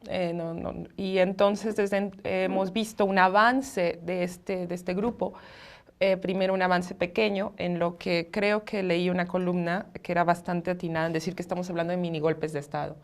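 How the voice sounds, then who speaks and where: A woman's voice: fairly low pitch, fairly even intonation, normal speed. A woman journalist explaining a situation as a studio interview guest, in considered, spontaneous phrases.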